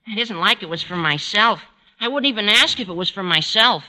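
An actor playing a gawky, eager teenage schoolboy in an earnest, wheedling 1940s radio comedy style. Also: male voice, high-pitched, animated, brisk, pushed and bright, exaggerated voice. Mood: pleading. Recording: faintly noisy, clicking 1940s radio recording.

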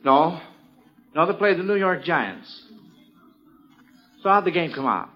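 A man's voice: fairly high pitch, expressive, unhurried. A man with an American accent hosting a 1940s radio quiz in a folksy, encouraging way, often echoing contestants' answers. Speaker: quiz show host. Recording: muffled, noisy vintage radio recording.

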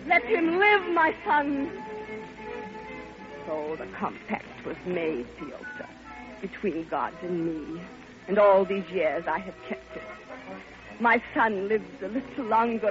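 Old radio drama: lovelorn older woman played by an actress, impassioned and halting, very unhurried, muffled vintage recording with music. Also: fairly high pitch, lightly acted. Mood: desperate pleading, then fervent confession. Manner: impassioned, theatrical, halting delivery. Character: grieving, lovelorn old woman pouring out her heart. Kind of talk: classic radio drama monologue.

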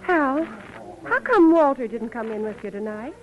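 An actress playing a polite, refined, gently resisting well-to-do woman in an old-time radio drama, proper and hesitant. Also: fairly high pitch, expressive, moderate pace, natural voice. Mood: puzzled. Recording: dull, noisy vintage radio recording.

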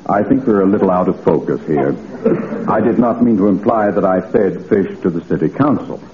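A man's voice: low voice, fairly quick, background noise, lightly acted. A man acting a dignified, wordy town mayor in an old-time radio comedy, formal and precise yet easily flustered. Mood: exasperated. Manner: formal, precise, pompous, prone to sputtering. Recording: tinny, echoey old radio with audience.

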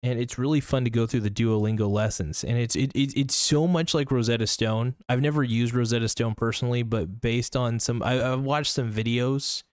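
Young man, casual solo podcast, rambling, fillers and restarts, medium pitch, dry close sound. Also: fairly even intonation, normal speed, few pauses, no echo. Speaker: podcast host.

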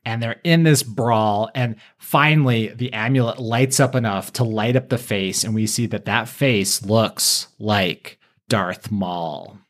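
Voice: mid-range voice, slow. This is a podcast co-host with a middle-register voice, retelling a story in a casual recap style. The delivery is informal and playful, dropping in dramatic asides.

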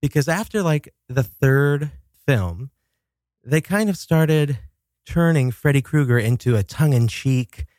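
A man's voice: mid-range voice, animated, measured pace, a little mellow, dry recording, studio quality. A man hosting a casual solo podcast, telling stories dramatically with chatty asides and some swearing. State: coming down with a cold. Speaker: podcast host. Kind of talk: casual solo talk show about horror films.

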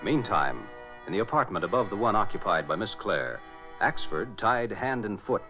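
A man's voice: lowish voice, sing-song, normal speed. A man narrating a radio serial in a dramatic 1940s style. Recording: muffled old radio recording. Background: music.

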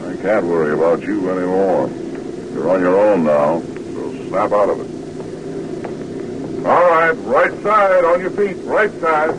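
An actor playing a gruff, terse airborne officer in a vintage radio drama, with a gravelly voice. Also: male voice, lowish voice, lively intonation, unhurried. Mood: commanding. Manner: terse, commanding. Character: gruff male airborne officer narrating a night parachute jump. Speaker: narrator and officer. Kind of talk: 1940s-50s radio drama acting. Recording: noisy old radio broadcast with engine drone.

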